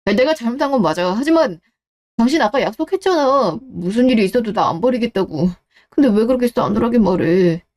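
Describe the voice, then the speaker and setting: Radio drama role: evasive, desperate husband played by a woman, self-justifying and pleading, with a muted, mellow timbre. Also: fairly high pitch, expressive, fairly quick, lightly acted. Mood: defensive, aggrieved. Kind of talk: radio-drama story dialogue.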